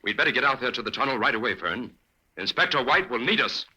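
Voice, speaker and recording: A man playing a calm male crime-fighting hero in a 1940s radio drama, speaking in a steady, decisive way. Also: mid-range voice, fast talker, husky, exaggerated voice, poor audio. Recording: old radio recording with crowd.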